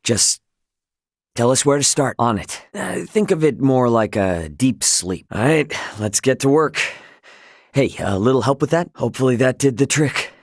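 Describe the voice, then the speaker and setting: A man's voice: mid-range voice, very animated, normal speed, vocal fry, no echo, clean studio sound. An actor voicing a brash, loyal young male spaceship captain in a video game, with an American accent and a casual, cocky, emphatic way of talking. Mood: easygoing, friendly.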